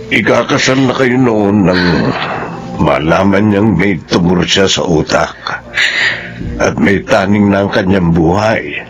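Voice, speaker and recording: A man playing a sorrowful older father in a Filipino melodrama, speaking gravely and haltingly. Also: low-pitched, dull audio. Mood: grave, sad. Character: sorrowful older father revealing his dead son's secret. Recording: music behind the voice.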